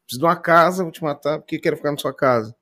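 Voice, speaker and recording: Male guest, rapid delivery, casual reactive commentary. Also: on the high side, fairly bright tone. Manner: quick, reactive commentary with "né" tags. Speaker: podcast guest. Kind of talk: casual chat.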